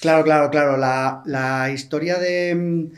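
Spanish man guest chatting in a studio. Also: a little high. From Toledo, Spain. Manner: relaxed, repeats words and fills pauses. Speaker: podcast guest, hunting platform founder. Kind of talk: casual interview talk. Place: small studio with wood panels and a plant. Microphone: large studio mic on a boom arm, headphones.

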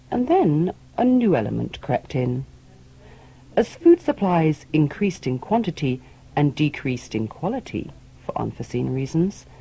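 A man's voice: medium pitch, vocal fry, mellow, breathy edge, poor audio. A man telling a tale as a storyteller, in scripted audiobook narration.

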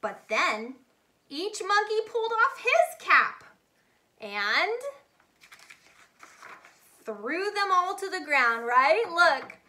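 High, bright woman reading a picture book. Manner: animated, deliberate, addressing young listeners. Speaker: children's storyteller. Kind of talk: expressive picture-book read-aloud.

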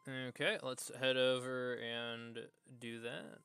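A man livestreaming a video game, casually thinking aloud in a halting way as he plays. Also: medium pitch, level tone, pushed and bright, clear, resonant tone. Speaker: game streamer.

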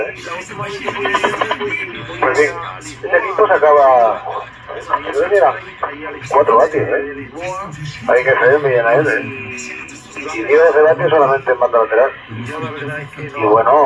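A man's voice: mid-range voice, dull audio. A man in a casual chat as a radio hobbyist, often tagging his sentences with a questioning "eh". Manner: repeatedly adds "eh" as a tag.

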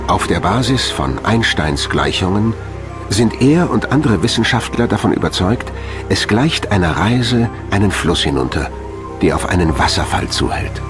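Deep-voiced male documentary narrator. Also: sing-song, moderate pace, over music.

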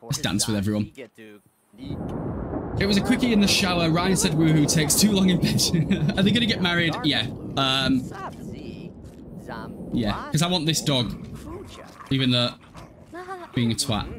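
A man's voice: a little high, expressive, moderate pace, pauses often, background noise. A young man giving casual, irreverent, sweary commentary while livestreaming a game and chatting with viewers. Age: young adult. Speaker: livestreamer playing a life-simulation game. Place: small room at home, indoors.

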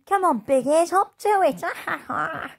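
A woman playing a brash storybook bully girl who mocks everyone around her. Her voice rises high and loud, full of sneering scorn. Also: moderate pace, vocal fry. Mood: mocking.

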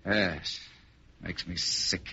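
Intense, sharp-voiced loyal lieutenant. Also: male voice, deep voice, steady tone, very slow, creaky, slightly rough, full character voice. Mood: bitter. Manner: intense, dramatic. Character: loyal, fierce male lieutenant to his boss. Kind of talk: 1940s radio acting. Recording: dull, hissy old radio recording.

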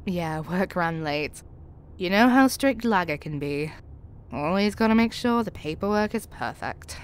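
A woman's voice: lowish voice, expressive, measured pace, smooth. A woman acting in an audio roleplay as a tough, confident spy with a tender private side, wry and self-assured. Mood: tender, teasing.

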